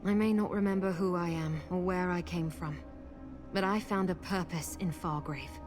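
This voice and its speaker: Woman as a low-voiced, resolute city protector. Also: fairly even intonation, moderate pace, natural voice. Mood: earnest, determined. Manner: earnest, plain-spoken, determined. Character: resolute female protector of a city, loyal, earnest. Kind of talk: fantasy game character acting. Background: game music.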